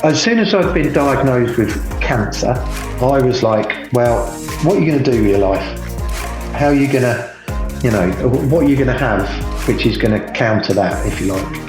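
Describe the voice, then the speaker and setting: A middle-aged man from England, a guest telling his story in a relaxed, unhurried way, with frequent ums and restarts. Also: medium pitch, roomy sound, over music. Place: home, by the sea.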